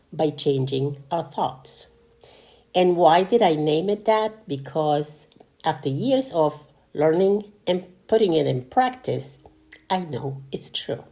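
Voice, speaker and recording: A woman's voice: low voice, dark tone. An older woman from Argentina with a Spanish accent, hosting a podcast in an earnest, personal, motivational monologue. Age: 60s or older. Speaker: podcast host.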